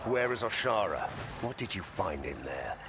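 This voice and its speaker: An actor playing a stern, level-headed knight commander in a video game, speaking formally and with authority. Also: male voice, low voice, sing-song, moderate pace, noisy background. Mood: commanding. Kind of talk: scripted video game dialogue.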